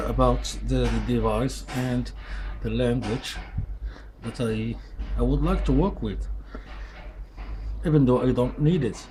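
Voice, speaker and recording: A man whose first language is Arabic, speaking in English with many fillers and restarts, talking casually about a tool in a workshop. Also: medium pitch. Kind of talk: casual product review vlog. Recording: noisy background.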